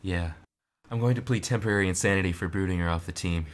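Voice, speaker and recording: A man playing a soft-spoken fox pilot, deep-voiced. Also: fast talker, close to an everyday voice. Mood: earnest. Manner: soft-spoken, hesitant, stammers. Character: young adult male fox ex-pilot, earnest, guilt-ridden. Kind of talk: fan audio drama reading.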